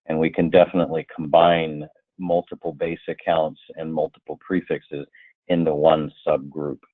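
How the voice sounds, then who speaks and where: A man presenting a software training webinar, explaining slide by slide in a conversational way with hypothetical examples. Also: extremely low pitch, no echo. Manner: explanatory, illustrates with hypothetical quotes. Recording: muffled, tinny phone-like line.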